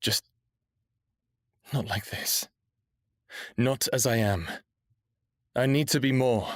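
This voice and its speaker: An actor playing a defiant, mouthy human captive who yearns for freedom, in an intense, brooding audio drama style with first-person narration. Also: male voice, mid-range voice, slow pace, no room sound, studio quality, close to an everyday voice. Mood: earnest, yearning.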